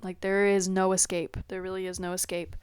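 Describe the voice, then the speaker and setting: A woman's voice: on the low side, monotone, fairly quick, a little mellow, smooth, no echo, studio quality. A young woman hosting her first podcast from a laptop, rambling casually in a scattered, self-deprecating way, her nose stuffed and congested. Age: young adult. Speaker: podcast host. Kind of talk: rambling casual vlog chat. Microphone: laptop built-in mic.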